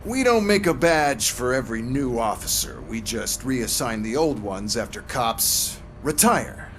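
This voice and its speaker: An actor playing a manipulative, smug police boss in a video game, with a sardonic, condescending manner. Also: male voice, mid-range voice, sing-song, measured pace, faint music behind. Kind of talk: scripted video game acting.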